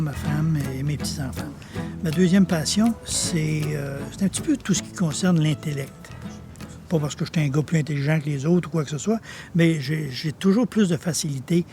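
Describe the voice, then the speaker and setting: A man, telling stories in a chatty interview with frequent fillers. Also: on the high side. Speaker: pharmacy manager. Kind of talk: casual interview answers. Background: music.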